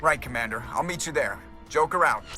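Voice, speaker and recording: A man's voice: a little high, lively intonation, fairly quick, over music. A man playing a starship pilot in a video game, urgent, informal and punchy over a radio link.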